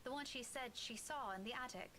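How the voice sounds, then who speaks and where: A woman voicing an anxious, earnest young woman in a horror visual novel who apologizes yet stays determined, with a soft, pleading delivery. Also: on the high side, a little fast, a little hiss or hum. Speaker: game character.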